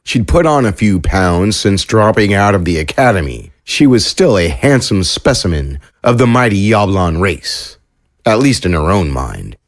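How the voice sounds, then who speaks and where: A man narrating an audio drama in a steady storytelling delivery. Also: low voice, moderate pace.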